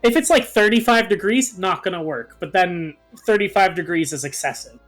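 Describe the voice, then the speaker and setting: A man's voice: high voice, normal speed, a bit bright, rough recording. A man in casual, playfully insistent banter with friends as he co-commentates a video game. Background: game music.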